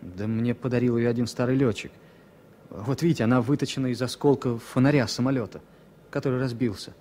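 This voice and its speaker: An actor playing a fussy, superstitious former pilot as a passenger, hesitant yet insistent, in a 1970s Soviet radio play. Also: male voice, mid-range voice, steady tone, brisk, dark tone, close to an everyday voice. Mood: insistent, wistful. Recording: faintly noisy vintage radio recording.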